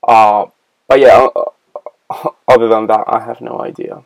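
A man's voice: fairly low pitch, steady tone, moderate pace, gravelly, dry recording, rough recording. A man from Italy co-hosting a podcast, with an English accent that sounds British with a European tinge, talking playfully and rambling with many restarts. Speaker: podcast co-host. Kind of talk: casual podcast chat.

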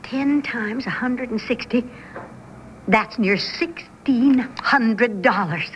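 An actress playing a greedy American swindler's wife who feigns piety, in a radio drama. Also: female voice, on the high side, slow pace. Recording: dull radio recording, faint noise.

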